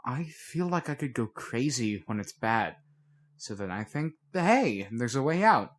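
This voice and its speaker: A man reads as a moody teenage girl in an audiobook. The delivery is halting and slangy, full of self-doubt, like confiding in a close friend. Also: medium pitch, sing-song. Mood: troubled, confessional.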